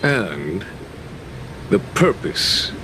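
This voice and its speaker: An actor playing a shrewd, unruffled general in a video game cutscene, with a languid, knowing delivery. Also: male voice, sing-song, very slow, exaggerated voice. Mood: knowing, accusing. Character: shrewd, unruffled male general, dryly amused. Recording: rumbling background noise.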